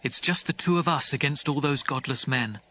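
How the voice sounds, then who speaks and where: A man playing a bold, hopeful young warrior prince with a husky voice, earnest and determined, in a dramatized audio Bible. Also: mid-range voice, normal speed, bright, forceful tone, a little hiss or hum, close to an everyday voice. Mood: hopeful resolve.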